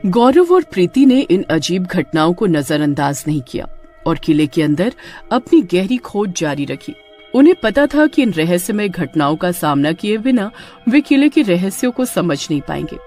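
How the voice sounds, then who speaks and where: A woman's voice: on the low side, big pitch swings, normal speed, a little mellow, faint music behind. A woman reading a scripted story as narrator, in a dramatic storytelling manner.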